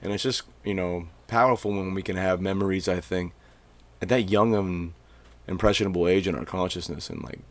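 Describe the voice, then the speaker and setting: A man's voice: low voice, brisk. A man in his twenties from Maine, hosting a podcast in loose, slangy casual chat. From Maine, USA. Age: 20s. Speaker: podcast host.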